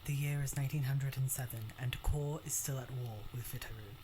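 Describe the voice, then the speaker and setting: A woman's voice: rumbling low, steady tone, fairly quick, noisy background. A woman reading scripted, dramatic narration as a trailer narrator.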